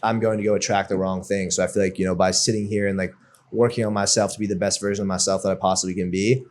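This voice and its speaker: A man's voice: sits low, flat delivery, fast talker. A young man talking openly as an interview guest, reflective and filling his speech with "like". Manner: open, reflective, peppered with "like". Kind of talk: casual interview chat.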